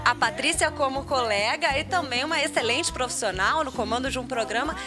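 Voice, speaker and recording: A woman's voice: high-pitched, a little fast, music in the background. A woman with a Brazilian accent interviewing a colleague in a casual, conversational way. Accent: Brazilian Portuguese. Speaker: interviewer, television colleague of the guest.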